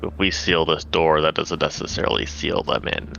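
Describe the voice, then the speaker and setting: A man's voice: extremely low pitch, flat delivery, moderate pace, creaky, a bit bright. A man playing a tabletop game, chatting casually on a lo-fi microphone. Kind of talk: casual chat. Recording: dull lo-fi mic, background noise.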